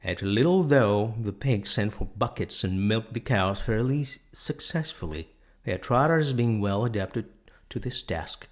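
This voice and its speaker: A man reading a scripted storybook narration in a measured, deliberate manner. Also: lowish voice, animated.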